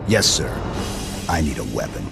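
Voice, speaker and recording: Very deep, gravelly stoic supersoldier. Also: male voice, normal speed, over music, exaggerated voice. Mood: stoic, deadpan. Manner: terse, deadpan. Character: stoic armored male supersoldier, calm, laconic, fearless. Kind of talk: cinematic video game acting.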